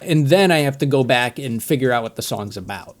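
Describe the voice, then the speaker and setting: A man's voice: medium pitch, lively intonation, brisk. A man who is a native English speaker, giving casual, reflective commentary as the host of a music reaction video.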